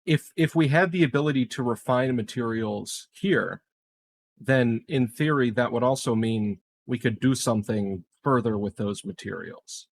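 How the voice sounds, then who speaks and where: A man's voice: mid-range voice, normal speed, vocal fry. A man chatting casually as a player at a tabletop game. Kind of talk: casual chat.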